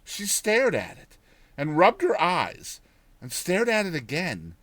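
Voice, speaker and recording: Theatrical male storyteller, very animated, unhurried. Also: high-pitched, slight background noise. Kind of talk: dramatized audiobook narration.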